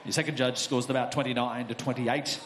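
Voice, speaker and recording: Theatrical cage announcer, arena echo and crowd noise behind. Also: male voice, mid-range voice, level tone. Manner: theatrical, drawn-out shouts. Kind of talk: booming ring announcing.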